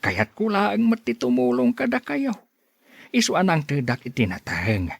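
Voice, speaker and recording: A man playing a weary old grandfather in an Ilocano radio drama, halting and gently complaining. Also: high voice, wildly swooping pitch, no room sound, exaggerated voice. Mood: plaintive. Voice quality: husky, creaky.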